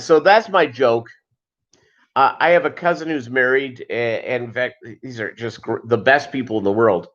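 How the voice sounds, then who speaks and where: A man's voice: medium pitch, a bit bright. Man rambling casually on a muffled livestream. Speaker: livestream host. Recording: dull lo-fi livestream audio.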